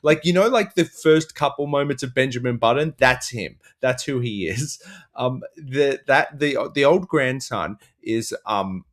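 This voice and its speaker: A man's voice: mid-range voice, unhurried. A man on a comedy podcast panel, riffing satirically in a rambling, mock-earnest way.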